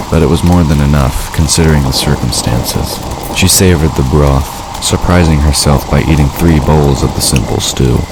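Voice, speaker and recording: A man narrating scripted fantasy fiction for an audio drama, with ambient effects behind him. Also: rumbling low. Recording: steady noise behind the voice.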